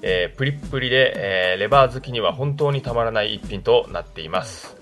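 A man presenting a radio food report in a polite, semi-scripted style, measured and punctuated with filled pauses. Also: medium pitch, animated, fairly bright tone. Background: background music.

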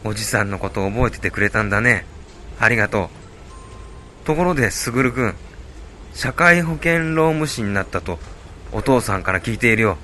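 A man playing an older hospital patient in an amateur radio drama, speaking gently and earnestly to a younger acquaintance. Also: on the low side, animated, moderate pace, pauses often, slight background noise. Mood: warm, earnest.

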